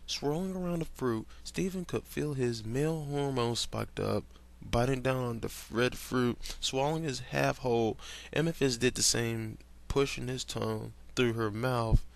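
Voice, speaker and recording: A man's voice: mid-range voice, normal speed, gravelly. A young man reading fan fiction aloud in a casual way, stumbling over words and breaking off to comment and laugh.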